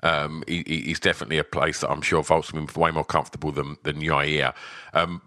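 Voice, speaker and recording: A man's voice: extremely low pitch, level tone, a little fast, pushed and bright, no echo, clean studio sound. A man co-hosting a podcast, chatting casually, stumbling and repeating words as he thinks. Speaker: podcast co-host. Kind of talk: casual chat about fights.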